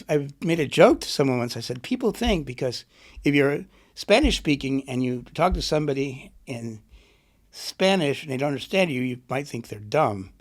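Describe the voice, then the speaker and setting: A middle-aged man, an author and poet, being interviewed and thinking aloud with a reflective, rambling manner. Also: on the high side. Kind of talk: conversational interview.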